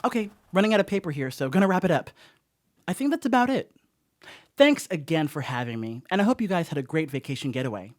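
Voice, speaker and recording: Radio play lead: a rattled young guy, slightly high voice, rambling and defensive, conversational with emphatic bursts. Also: big pitch swings, fast. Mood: sheepish, rambling. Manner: conversational, self-excusing, emphatic swings. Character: rattled, rambling young male house sitter writing a confessional note. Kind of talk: dramatic first-person radio play monologue.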